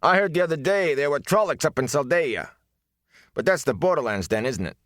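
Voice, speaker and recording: Man voicing a gruff mushy-mouthed attendant, blurts things out, raised pitch. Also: lively intonation, brisk, a bit bright, no echo, clean studio sound. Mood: blurting. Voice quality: mumbly, as if with a mouthful of mush. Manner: abrupt, scornful. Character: gruff young male bathhouse attendant, curt, blurting.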